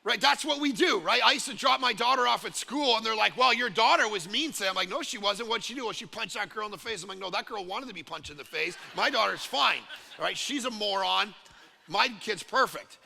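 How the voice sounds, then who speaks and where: Gravelly, bright-voiced pastor preaching. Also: male voice, high-pitched, a little fast. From Canada. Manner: animated, conversational preaching with many "right?" asides. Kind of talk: sermon. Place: large church hall. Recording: long reverb of a large church hall.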